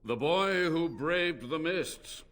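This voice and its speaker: Imperious ancient mage, sharp and theatrical. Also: male voice, a little high, animated, slow, faint music behind. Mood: wry, reminiscing. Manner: imperious, archaic formal phrasing. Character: frail, ancient, haughty mage obsessed with forbidden research. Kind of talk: fantasy video game acting.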